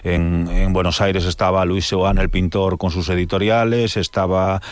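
Guy w/ low voice, publisher talking slow and careful, restarts a lot. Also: flat delivery, no room sound. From Galicia. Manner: measured, explanatory, with hesitant restarts. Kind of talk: radio-style interview answers.

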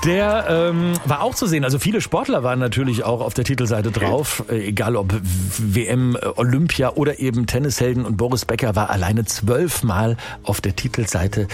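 A man's voice: medium pitch, normal speed, few pauses, a little mellow, soft background music. A man hosting a radio phone-in quiz, chatting in an upbeat, exclamatory way. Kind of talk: lively radio phone-in chat. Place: radio studio.

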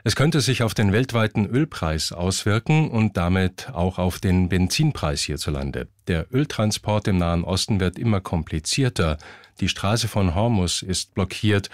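Male news presenter, low pitch, dry studio sound, scripted bulletin delivery. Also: dry recording.

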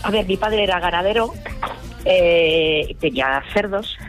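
A woman's voice: lowish voice, animated, a little slow, soft background music. A woman telling an anecdote in casual radio chat, explaining hesitantly and checking with her listeners.

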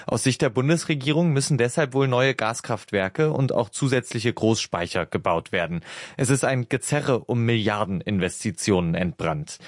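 A man presenting a radio news show, introducing reports in a clear, formal announcing manner at a studio microphone. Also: fairly low pitch, dry recording.

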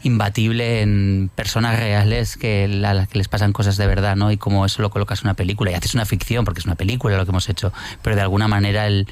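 Man, film director, fast and low-pitched, thoughtful interview talk. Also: flat delivery, smooth. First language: not Catalan; works in another language. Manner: reflective, many restarts and tag questions. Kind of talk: conversational interview.

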